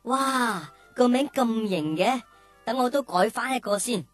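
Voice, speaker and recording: A woman's voice: on the high side, animated, measured pace, no echo, faint music behind. A woman voicing a cheeky, whiny young male cow in a children's radio drama, with exaggerated delivery. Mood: cheeky, eager.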